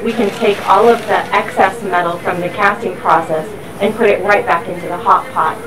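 A woman's voice: mid-range voice, fairly even intonation, normal speed, a crowd in the background. A woman explaining things step by step as a factory tour guide. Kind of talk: explanatory walk-through tour. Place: busy factory floor. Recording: factory noise, somewhat distant mic. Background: machinery and workshop noise.

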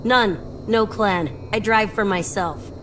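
A woman's voice: mid-range voice, slow, background noise, lightly acted. A woman voicing a tough, guarded young smuggler with a cool, blunt manner in video game dialogue.

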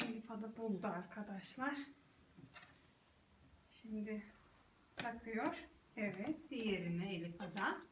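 A woman's voice: medium pitch, unhurried, pauses often. A woman talking as a mother guiding her young child through play, giving short encouraging prompts and addressing viewers casually. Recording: roomy, distant mic, clear background noise.

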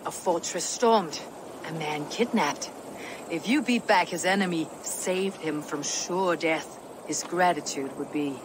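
An actress playing a steely, calculating Viking warrior woman in a video game, speaking in a terse, commanding way with a husky low voice. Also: a little slow, noisy background. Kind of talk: video game cutscene acting.

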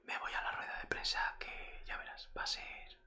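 A middle-aged man with an accent from Spain whispers softly and conversationally into a close microphone, playing a football coach in an ASMR roleplay. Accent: Spanish from Spain. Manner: soft, unhurried, conversational. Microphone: close desktop microphone.

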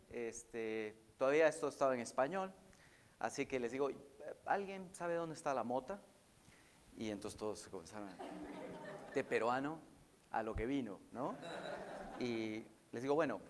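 A man's voice: mid-range voice, long reverb tail, slight background noise. A middle-aged Peruvian man with a mixed Peruvian accent, giving a conversational conference talk with casual anecdotes and frequent fillers. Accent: Peruvian Spanish, mixed with Mexican. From Peru. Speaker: conference speaker. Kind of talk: academic talk.

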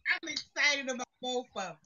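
A woman hosting a casual dating show, chatty, with soft-spoken asides. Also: sits high, expressive, fairly quick, dull audio. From Germany, raised in Panama. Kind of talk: casual chat.

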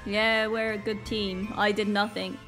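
A woman's voice: medium pitch, little pitch movement, measured pace, fairly bright tone, faint music behind. A young woman with a Northern Irish accent, sick, doing flustered casual commentary over a game she is new to. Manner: flustered, exclaiming often. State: sick, voice a bit off. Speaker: gaming YouTuber. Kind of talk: casual let's-play commentary.

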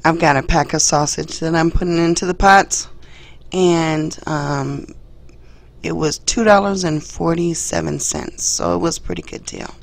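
Hoarse, low-voiced woman vlogging. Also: animated, a little slow. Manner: soft-spoken with frequent ums. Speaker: channel host. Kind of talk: casual cooking vlog talk. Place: home kitchen at the stove. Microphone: camera mounted above the stove.

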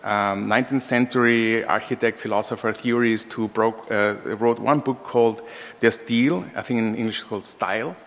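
A man in his forties with a lowish voice and an Austrian accent gives a lecture. He phrases things informally and a little hesitantly, with small asides. The long reverb of a lecture hall trails his words. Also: steady tone, normal speed, a bit bright. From Austria, raised in Vienna. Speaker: architecture professor and practicing architect. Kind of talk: lecture to an audience. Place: large room, indoors, at a lectern. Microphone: gooseneck lectern microphone.

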